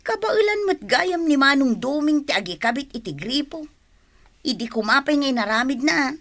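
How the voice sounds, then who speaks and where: An actress playing a practical, caring, nagging family breadwinner in a radio drama, chiding and insistent. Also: female voice, high-pitched, expressive, moderate pace, a bit bright. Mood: coaxing. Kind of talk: Ilocano radio drama acting.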